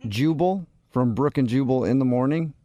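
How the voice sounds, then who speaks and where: A man hosting a radio show with teasing, joking banter in a lively style. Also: medium pitch, normal speed, dry recording.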